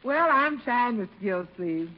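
An actress playing a folksy, deferential housekeeper in a 1950s radio situation comedy. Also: female voice, fairly high pitch, animated, measured pace, slight background noise. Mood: busy, cheerful. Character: folksy, busy housekeeper, good-natured and deferential. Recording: dull vintage radio recording.

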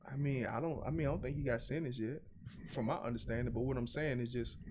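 A man from Buffalo holds forth as a podcast guest, blunt and profane, piling one point on top of the next. His voice sits at an everyday middle pitch, and he tells his stories with lively, animated swings. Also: fast talker, background noise. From Buffalo, New York. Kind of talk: casual podcast conversation.

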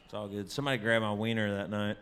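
A man's voice: fairly low pitch, fairly even intonation, fast, fairly bright tone, slight background noise. A man, a musician guest on a live podcast, talking in a laid-back, self-deprecating way. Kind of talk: casual chat.

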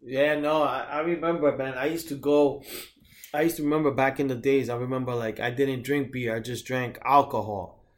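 A man's voice: medium pitch, fairly even intonation, normal speed. A man in his thirties co-hosting a podcast, telling laid-back stories in casual chat and swearing now and then. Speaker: podcast co-host.

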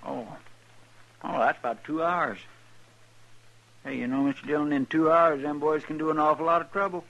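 An actor with a rural Western drawl playing a folksy, deferential marshal's sidekick in a 1950s radio drama. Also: male voice, a little high, fairly even intonation, moderate pace, a bit bright. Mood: concerned. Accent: rural American Western drawl. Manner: folksy, respectful, homespun. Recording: muffled, noisy old radio recording.

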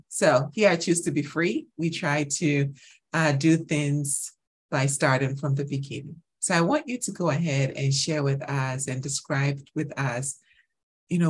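Low husky woman host. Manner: warm, chatty, quick to laugh. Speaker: podcast host. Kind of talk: casual interview chat.

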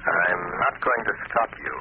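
A man playing a calm, commanding, invisible crime-fighter in old radio drama, speaking in a deliberate, ominous way. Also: low voice. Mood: calm menace. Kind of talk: 1940s radio drama acting. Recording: scratchy, noisy, narrow-band old radio recording.

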